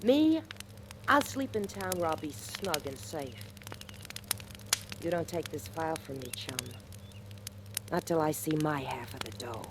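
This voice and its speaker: An actress playing a tough, shrewd hobo in a 1940s radio thriller, sardonic, slangy and taunting. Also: female voice, low voice, expressive, a little slow, pauses often. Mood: hard, threatening. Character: tough wisecracking female hobo, shrewd and mocking. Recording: noisy old radio recording.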